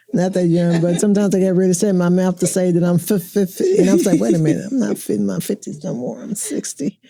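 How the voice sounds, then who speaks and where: A woman's voice: fairly low pitch, animated, a little fast, gravelly, dark tone. A woman in her sixties talking as an interview guest in a casual, reflective chat. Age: 60s.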